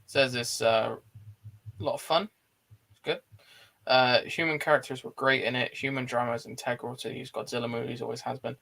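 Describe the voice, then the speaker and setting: A man's voice: mid-range voice, steady tone, brisk, vocal fry, bright, forceful tone. A man with a British accent hosting an online film chat in a blunt, informal way, reading out comments. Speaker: host of an online film show.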